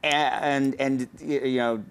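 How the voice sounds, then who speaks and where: A man arguing earnestly and a little haltingly as a guest in a casual film discussion. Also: mid-range voice, steady tone, clear, resonant tone. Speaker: panel guest, film writer.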